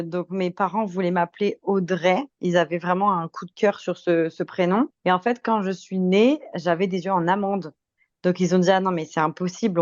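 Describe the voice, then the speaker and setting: A woman in her twenties chats casually as a podcast guest, in a slightly low voice. She has a French accent with a faint Québécois tinge and a chatty, good-humored manner. The sound is dry and a little dull. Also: darkish tone, no echo. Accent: French from France with slight Québécois tinge. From France. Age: 20s. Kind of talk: casual chat, telling anecdotes.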